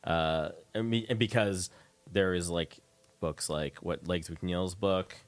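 A man's voice: deep voice, steady tone, slow pace, dry recording. A middle-aged man hosting a casual, conversational interview, meandering and hesitant in his phrasing. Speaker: radio show host, interviewer.

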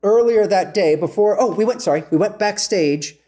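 Man, mellow tone, slightly high pitch, casual storyteller, halting, self-correcting.

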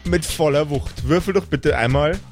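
A man's voice: on the high side, moderate pace. A man improvising narration as the game master of a tabletop role-playing game, in a playful way. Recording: music bed behind the voice. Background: background music.

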